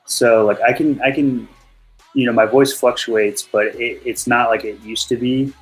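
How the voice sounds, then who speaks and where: A man, a music producer, chatting casually on a livestream in a flat, monotone voice, full of fillers. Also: mid-range voice, normal speed, rough recording. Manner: laid-back, uses many fillers.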